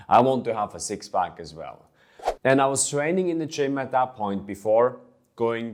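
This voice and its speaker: A young man from Switzerland, a fitness coach, talking earnestly about his own experience in a vlog, with small restarts as he goes. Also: mid-range voice. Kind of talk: personal vlog monologue.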